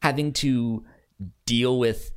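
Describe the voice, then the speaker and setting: This is a podcast co-host with a medium-pitched speaking voice in a casual discussion. The delivery is halting and conversational, with words repeated and sentences often begun again before they land. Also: steady tone, clear, resonant tone. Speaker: podcast co-host reviewing anime. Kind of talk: casual chat.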